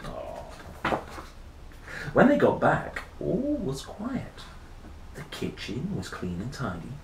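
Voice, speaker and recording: Guy reading kids story out loud, really expressive, playful little asides, echoey room w faint noise. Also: on the high side, slow. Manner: playful, theatrical, with exclamations and musing hums. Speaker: children's story reader. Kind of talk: expressive picture-book read-aloud with casual asides. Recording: roomy echo, faint noise.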